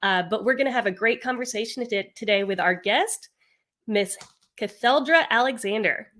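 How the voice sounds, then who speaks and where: A woman's voice: on the high side, moderate pace, fairly bright tone. A woman hosting a podcast, asking questions in an upbeat, enthusiastic manner. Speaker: podcast host. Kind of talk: conversational podcast interview.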